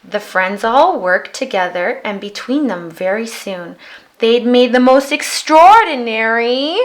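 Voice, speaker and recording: A woman reading a children's picture book aloud as an expressive storyteller. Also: fairly high pitch, a little slow. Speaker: storybook reader.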